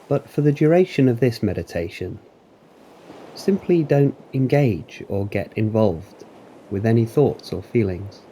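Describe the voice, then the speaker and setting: A man narrating a guided meditation in a calm, deliberate, soothing manner with long pauses. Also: mid-range voice, slight background noise, low-quality recording. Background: waves.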